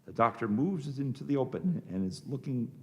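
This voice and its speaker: A male narrator reads scripted stage action for a radio drama. His voice is medium in pitch with a strong creaky, gravelly edge. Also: animated, moderate pace, darkish tone.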